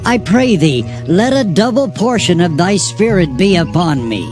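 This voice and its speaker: A man's voice: high-pitched, lively intonation, moderate pace, darkish tone, soft background music, voice pushed up. A man voicing a devoted young prophet, earnest and pleading, drawing out his words.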